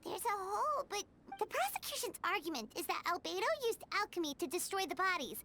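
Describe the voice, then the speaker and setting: A woman voicing a small, eager, childlike fairy companion in a video game, expressive and exclamatory. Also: very high voice, fairly quick, heavily acted. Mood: curious, puzzled. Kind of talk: scripted video game voice acting. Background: playful game music.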